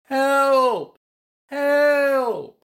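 Woman voicing an excited, high-voiced little animal adventurer. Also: very slow, shouting, full character voice. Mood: desperate. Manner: excited, calling out.

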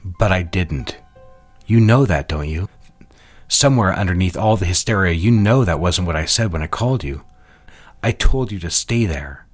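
A man's voice: low voice, lively intonation, moderate pace, breathy edge. A man acting a controlling husband in an audio drama, coaxing and patronizing as he insists. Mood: insistent. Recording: music under the voice. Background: music.

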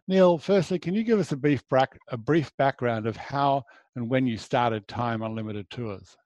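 A man's voice: mid-range voice, normal speed, darkish tone, no room sound, highs cut off. A man with an Australian accent hosting a travel show, reading a scripted intro and then chatting with enthusiasm. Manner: enthusiastic, affirming.

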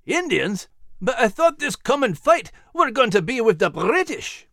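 A gruff, growling Scottish dog, loyal and homespun, as a man puts him on. He rolls through a lively, swinging voice pitched well above an everyday man's, heard close and dry. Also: measured pace, no room sound, exaggerated voice. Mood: puzzled. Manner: growling, folksy.

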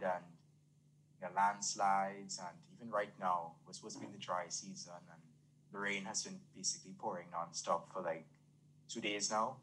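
A man's voice: low-pitched, fairly bright tone, a little hiss or hum, low-quality recording. A young man with a Trinidadian accent, speaking earnestly and fluently with many fillers as a guest in an interview. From Trinidad and Tobago. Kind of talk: casual interview talk.

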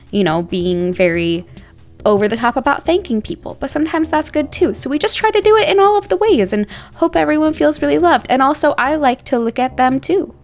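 A woman co-hosting a podcast about making audio drama, talking in a playful, informal, slangy way. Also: a little high. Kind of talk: casual podcast narration.

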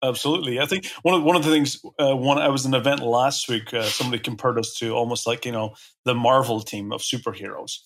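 A man of mid pitch hosting a podcast. He talks quickly and enthusiastically, with plenty of fillers. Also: fairly even intonation, fairly bright tone, a little hiss or hum. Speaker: podcast host.

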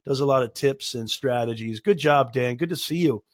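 A man co-hosting a casual live stream, slightly hoarse and raspy from a cold, chatty and joking. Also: medium pitch, fairly quick, no room sound, studio quality. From Southern California. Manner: joking, chatty, laughs often. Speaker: co-host.

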